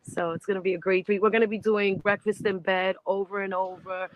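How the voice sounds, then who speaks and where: A woman in her fifties, a singer being interviewed, chatting in an animated way and laughing easily. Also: mid-range voice, a little fast, muffled recording. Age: 50s. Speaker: guest singer. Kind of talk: casual interview chat.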